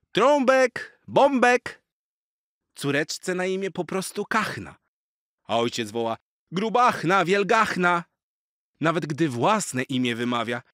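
A man reading children's rhymes aloud in an expressive, theatrical and rhythmic way. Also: mid-range voice, no room sound, clean studio sound. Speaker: children's story reader.

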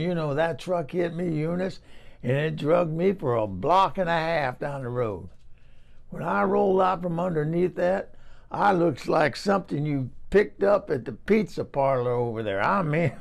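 A man's voice: on the high side, vocal fry. A man voicing a chatty old Southern woman from Tennessee, telling a rambling folksy story with a Southern accent. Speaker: comic character monologue. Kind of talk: comic storytelling.

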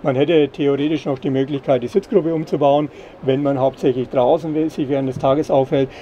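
A middle-aged man explaining product features in an interview, slightly halting and repeating words. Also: mid-range voice, steady tone, dark tone, dull audio. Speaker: caravan company representative. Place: caravan at an indoor trade fair. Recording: clear background noise of busy trade fair.

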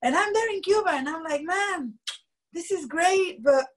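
A woman in her thirties from Luxembourg with a husky voice, telling stories animatedly with frequent laughs over a video call from home. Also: very high-pitched, normal speed. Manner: animated storytelling, laughs often. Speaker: musician guest. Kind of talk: casual video call interview. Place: home room, indoors.

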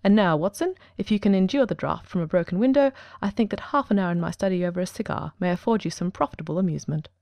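A woman's voice: fairly low pitch, expressive, fast, hardly any pauses, no echo, highs cut off. A woman voicing a coolly self-assured male detective genius, precise and formal, in a dramatic audiobook. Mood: assured, dry. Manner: crisp, formal, commanding.

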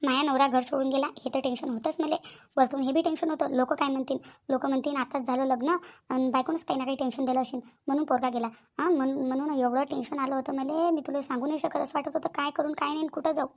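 A woman's voice: high-pitched, fairly even intonation, fast. A woman voicing anxious village women in a cartoon family drama, speaking colloquial Vidarbha Marathi in a fretful, emphatic, repetitive way. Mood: distressed.

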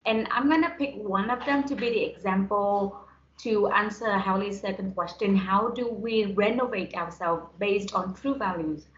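A woman's voice: medium pitch, normal speed. Young woman, native Vietnamese speaker, conversational guest talk. Roomy, slightly dull video-call sound. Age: young adult. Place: indoors on a group video call.

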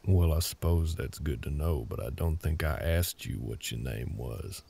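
A man voicing a gruff, terse older cowboy with a Southern US drawl and a gravelly voice in a roleplay audio drama. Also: very deep voice, normal speed, warm tone, heavily acted. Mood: grudging, curt. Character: gruff older cowboy ranch hand, grumpy, curt, grudgingly helpful.

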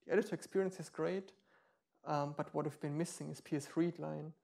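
Gravelly-voiced man giving a tech demo. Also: fairly high pitch, steady tone, a little fast, a little mellow. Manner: explanatory, with frequent ums and restarts. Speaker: meetup speaker. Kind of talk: live tech demo talk. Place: meetup room.

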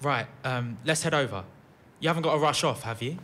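A man's voice: mid-range voice, fairly quick, fairly bright tone, natural voice. Actor as practical young man, faint background noise. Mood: casual, practical. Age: young adult. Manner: casual, practical. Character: young male actor, busy organiser, brisk and businesslike. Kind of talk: naturalistic audio drama acting.

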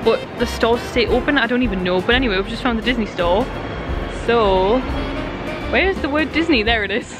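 A woman's voice: a little high, a little fast, over music. A young woman, chatting casually to a handheld camera while vlogging around the city at night. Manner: chatty, rambling, lots of "like". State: croaky voice. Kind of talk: casual vlog chat. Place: city streets, shops and a car at night. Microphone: handheld camera at arm's length.

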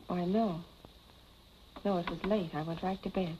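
An actress playing a poised, well-to-do young wife with composed, genteel diction in 1940s film style. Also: female voice, fairly low pitch, steady tone, measured pace, warm tone, a little hiss or hum, close to an everyday voice. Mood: uneasy. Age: young adult. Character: poised, self-possessed wealthy young wife, soothing then uneasy. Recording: dull 1940s film soundtrack with music.

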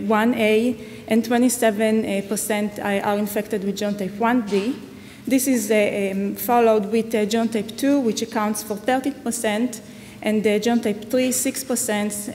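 An Israeli woman in her thirties gives an academic talk at a podium microphone, pausing often and filling gaps with small sounds. Her voice carries a long reverb from the lecture hall, with a faint hiss underneath. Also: a little high, darkish tone. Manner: hesitant, many fillers. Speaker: scientist giving a conference talk. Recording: echoey hall with faint noise.

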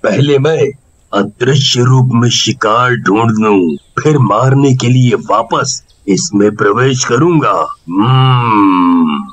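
A man voicing a menacing man-eating ghost demon in a cartoon, with a drawn-out, gloating delivery. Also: medium pitch, lo-fi sound. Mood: scheming.

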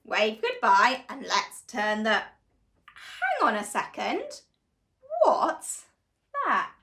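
A young woman reading a picture book aloud to small children in an animated, expressive, deliberate storytelling manner. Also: on the high side. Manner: exaggerated, expressive, slow and deliberate delivery to children. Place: small living room, on a sofa indoors.

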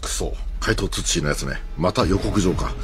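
Man acting a low-voiced, earnest police detective. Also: normal speed, music in the background, close to an everyday voice. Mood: stern. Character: gruff, earnest male police detective inspector. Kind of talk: comic radio drama.

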